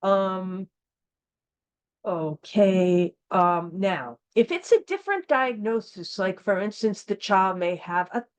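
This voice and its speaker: A woman's voice: mid-range voice, animated, slow pace. An American woman giving an informal training lesson, speaking plainly as an instructor and repeating key points for emphasis. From United States. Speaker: instructor of a training course for school founders.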